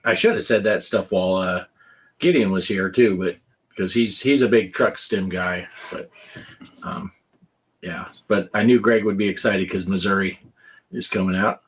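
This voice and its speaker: A man's voice: low voice, moderate pace, slightly rough. A man in his fifties hosting a casual gaming podcast, joking around and reading chat comments aloud. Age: 50s. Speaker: podcast host.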